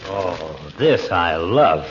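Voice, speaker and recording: An actor playing a smug family doctor in a 1940s radio comedy, trading dry taunts and well-worded insults. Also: male voice, deep voice, very animated, very slow, exaggerated voice. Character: wry, needling family doctor, friend of the couple. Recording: muffled, noisy vintage radio recording.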